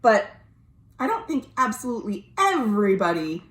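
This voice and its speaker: A woman librarian reading a story aloud to children in an expressive way, pausing to explain things simply. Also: mid-range voice. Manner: expressive, addressing young listeners directly. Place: indoors at home. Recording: roomy, echoey home recording.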